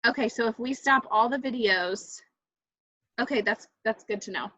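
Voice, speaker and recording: A woman's voice: fairly high pitch, normal speed, pushed and bright, no echo. A woman moderating a video call, thinking aloud hesitantly as she gives casual technical help. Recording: dull, dry video-call audio.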